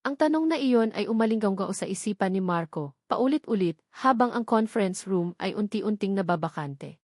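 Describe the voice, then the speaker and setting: A woman reading a dramatic love story as narrator, in a solemn, sentimental and deliberate way. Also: mid-range voice, lively intonation, darkish tone, no echo, studio quality.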